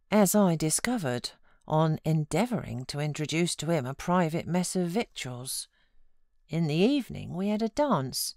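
A woman's voice: low-pitched, a little mellow, no echo, clean studio sound. A woman with a British accent narrating a classic novel as a scripted audiobook.

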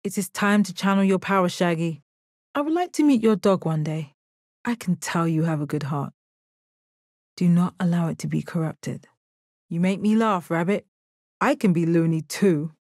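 An actress voicing a calm, stoic alien warrior woman in a video game, with measured, deadpan, formal phrasing and a confident, kind temper. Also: on the low side, dry recording, studio quality. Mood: assured. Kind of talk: video game character voice lines.

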